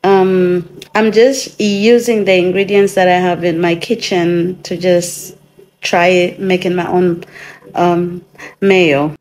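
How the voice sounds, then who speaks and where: A woman hosting her own DIY channel, chatting through a cooking demonstration in her home kitchen, her voice a little hoarse from a cold and full of casual ums as she thinks aloud. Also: fairly low pitch, steady tone, takes it slowly, a little mellow.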